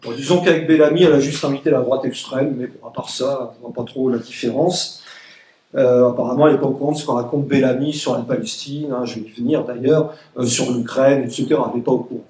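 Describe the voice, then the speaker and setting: A man's voice: mid-range voice, fairly even intonation, quick pace, darkish tone. A man of Egyptian origin giving an emphatic, sarcastic political speech at a public meeting, mocking his opponents. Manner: emphatic, sarcastic, quotes opponents in mock voices. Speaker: political speaker. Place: meeting room. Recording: echoey room.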